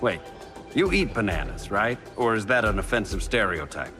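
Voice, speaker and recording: Cocky, animated superhero archer. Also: male voice, on the low side, moderate pace. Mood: joking. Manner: flippant, sarcastic, quippy. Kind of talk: video game cutscene acting. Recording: voice under loud music.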